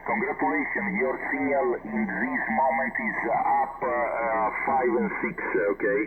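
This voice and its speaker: An Italian man speaking accented, halting English as an amateur radio operator, repeating himself and spelling in phonetic alphabet over shortwave. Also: mid-range voice, fairly even intonation, gravelly. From Italy. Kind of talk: ham radio contact exchange. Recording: noisy narrowband shortwave radio transmission.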